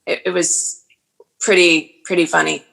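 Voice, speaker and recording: A woman's voice: lowish voice, flat delivery, unhurried, creaky, fairly bright tone. A woman in her forties, an audiobook narrator and actress, chatting casually in an interview with frequent fillers and restarts.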